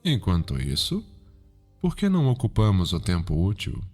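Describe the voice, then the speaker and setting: Man playing low-voiced, sinister cultured psychiatrist, Brazilian accent. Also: wildly swooping pitch, full character voice. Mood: calm, probing. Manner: formal, measured, erudite, softly probing. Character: cultured, calm, sinister male psychiatrist mentoring a trainee. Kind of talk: ASMR roleplay. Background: background music.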